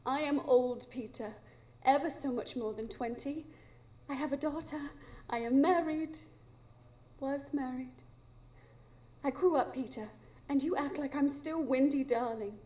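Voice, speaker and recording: A wistful grown mother played by a woman actor, with a very high voice. She is caring and earnest, in live radio drama style. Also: measured pace, pauses often, dark tone. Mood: sad, regretful. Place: improv theatre stage, live audience.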